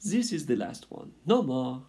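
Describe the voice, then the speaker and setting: A man's voice: mid-range voice, sing-song, measured pace, mellow, voice pushed up. A man voicing a vain, melodramatic French mother mouse in a storybook, lamenting theatrically in broken phrasing. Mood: self-pitying, dramatic.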